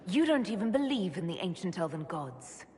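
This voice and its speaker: Resolute female elf mage, lowish voice, sincere, direct, fantasy game dialogue, faint noise. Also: big pitch swings, normal speed, a little mellow. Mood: questioning, determined. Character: earnest, resolute female elven mage leader. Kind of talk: dramatic video game dialogue.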